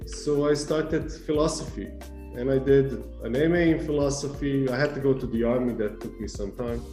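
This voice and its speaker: A man, talking in a relaxed, chatty way about his own path. His voice is dark and mellow. He is recorded in an echoey room. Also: medium pitch, moderate pace, faint music behind. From Serbia. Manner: chatty, easygoing, self-correcting storyteller. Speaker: university lecturer. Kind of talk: casual interview answers.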